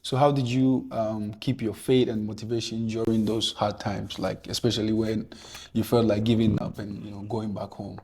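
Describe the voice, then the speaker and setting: A man hosts an interview in a husky, fairly flat voice. He asks gentle questions, then listens while saying "right, right" over and over. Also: on the low side, moderate pace. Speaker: host.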